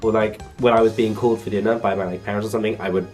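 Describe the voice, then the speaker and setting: A man's voice: fairly low pitch, fairly even intonation, racing, darkish tone, soft background music. A young man from England talking casually to camera in a vlog, chatty and full of "like" fillers. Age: young adult. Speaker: vlogger.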